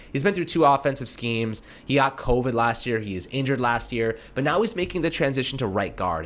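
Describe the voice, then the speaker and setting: A man's voice: on the low side, a bit bright, a little hiss or hum. A man hosting a sports show, giving casual fan commentary in an informal, conversational way, talking to callers like friends.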